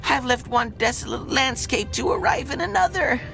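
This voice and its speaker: A woman's voice: high voice, animated, normal speed, creaky, sharp tone, faint music behind, exaggerated voice, low-quality recording. A woman playing a small, brainy and dutiful cartoon creature in a video game, with an earnest, thoughtful manner. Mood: concerned. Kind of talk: video game cutscene acting.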